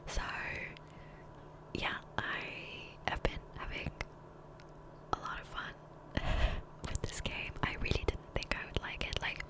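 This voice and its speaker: A woman whispering softly and breathily in a chatty, rambling ASMR style over gameplay, close to a condenser microphone. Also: slow, long pauses. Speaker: gaming ASMR creator. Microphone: close USB condenser microphone.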